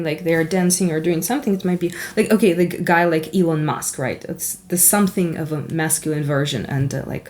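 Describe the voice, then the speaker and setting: Giggly Ukrainian woman reading tarot. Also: lowish voice. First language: Russian. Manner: animated and giggly, rambling, candid. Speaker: tarot reader. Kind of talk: chatty spiritual card reading.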